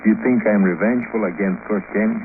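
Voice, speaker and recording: A man's voice: lowish voice, natural voice. An actor playing a vengeful, sneering ex-husband in a theatrical 1950s radio drama manner, savoring his bitterness. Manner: theatrical, sneering, chuckling, drawn-out. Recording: scratchy, noisy, narrow-band 1950s radio recording.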